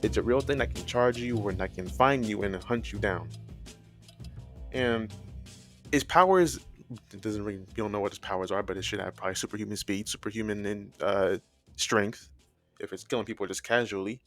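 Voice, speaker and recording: A man with a lowish voice talks casually as the host of an explainer video. He rambles, hedges and circles back to restate his points. Faint music plays behind him. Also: moderate pace, a bit bright. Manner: rambling, hedging, often repeating and correcting himself. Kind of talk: casual, loosely structured explainer commentary.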